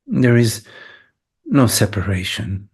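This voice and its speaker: Male meditation teacher, lowish husky voice, very slow delivery, short phrases, long pauses. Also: huge pitch swings, a little mellow. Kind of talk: guided meditation.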